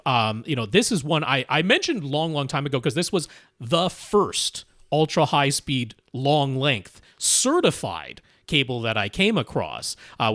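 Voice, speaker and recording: A man's voice: mid-range voice, a bit bright, no room sound. Male podcast host, mid-range voice, chatty explainer, dry studio sound. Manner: explanatory, quotes people in asides, frequent restarts. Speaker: podcast host giving home theater advice. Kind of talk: casual podcast chat.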